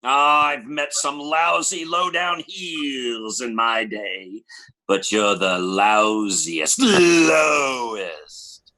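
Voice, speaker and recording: A man's voice: mid-range voice, animated, drawn-out words, sharp tone, heavily acted. A man playing a tough, slangy gangster who blusters indignantly in an old-time radio detective drama. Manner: streetwise hardboiled slang, exclamatory.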